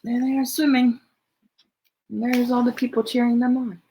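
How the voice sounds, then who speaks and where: A woman hosting a children's story time, reading aloud and chatting informally, often thinking out loud as she goes. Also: a little high, level tone, normal speed, slight background noise, rough recording. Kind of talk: reading a picture book aloud, then casual chat to children.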